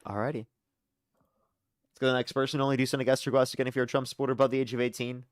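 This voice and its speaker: A young man hosting a live call-in debate stream, briskly screening callers with sarcastic asides. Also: mid-range voice, level tone, dry recording, clean studio sound. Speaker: livestream debate host.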